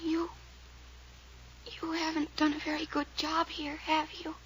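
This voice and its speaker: An actress in a 1940s radio drama playing a frightened, eerie young woman who talks haltingly and often whispers. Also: sits high, completely flat delivery, fairly bright tone, slight background noise, poor audio. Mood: haunted. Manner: halting, dreamy, fearful.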